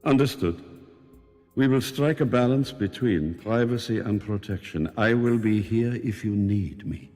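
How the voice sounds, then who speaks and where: Guy doing a calm spooky voice in her head, formal, kinda low, big reverb, music faint underneath. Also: lightly acted. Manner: measured, formal, unhurried. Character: calm disembodied male voice inside a girl's head.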